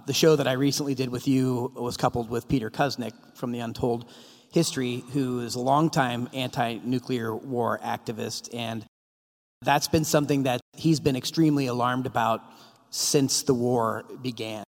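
A man hosting a talk and asking measured questions of his guest. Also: mid-range voice, steady tone. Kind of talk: moderated Q&A. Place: lecture hall. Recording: reverberant hall.

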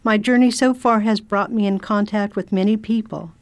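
A woman playing a self-assured, kindly lady traveller in radio theatre, speaking in a formal, deliberate and imperious way. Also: medium pitch, fairly even intonation, natural voice. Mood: reflective. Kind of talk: radio theatre acting and diary narration.

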